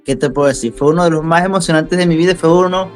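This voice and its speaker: Young Venezuelan man, interview guest, slightly high voice, relaxed storytelling with repeated words; soft music behind. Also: very fast. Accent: Venezuelan Spanish. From central Venezuela. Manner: conversational, repeats words for emphasis.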